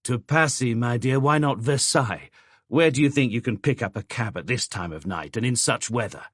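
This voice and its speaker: A man's voice: medium pitch, normal speed, a bit bright, breathy edge, no room sound, clean studio sound. A man voicing a suspicious, brusque painter in an audiobook, abrupt and scornful in manner. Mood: mocking. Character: suspicious, brusque male painter, distrustful of women.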